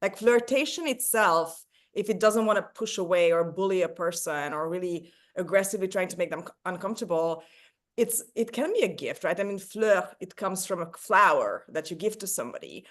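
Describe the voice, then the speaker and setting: A woman's voice: on the low side, expressive, a little fast. Hungarian woman, enthusiastic panel host. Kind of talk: casual panel discussion.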